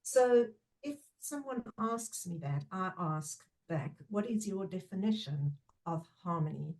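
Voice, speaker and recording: A middle-aged woman whose first language is Portuguese, a guest speaking in a thoughtful, measured way with frequent pauses. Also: lowish voice, darkish tone, low-quality recording. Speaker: guest, trainer and former teacher. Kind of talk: reflective podcast conversation.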